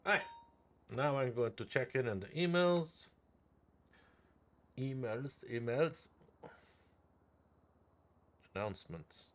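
A man's voice: medium pitch, lively intonation, slow, pauses often, fairly bright tone. A man chatting casually while streaming a game, swearing freely and repeating phrases playfully. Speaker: live streamer playing a roleplay game.

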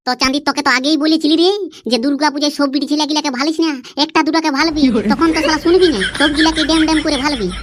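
A performer with a sped-up, pitched-up voice playing a bossy, mischievous village cartoon character with a Purulia accent, mocking and scolding in dialect. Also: steady tone, rapid-fire, a bit bright. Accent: Purulia, West Bengal.

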